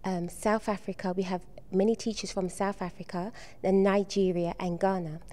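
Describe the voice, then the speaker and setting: A soft-spoken woman in her middle years, explaining a school art exhibition she organized. Her voice is mellow and warm, and she pauses often with ums as she gathers her thoughts. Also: mid-range voice, flat delivery. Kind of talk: on-location TV interview.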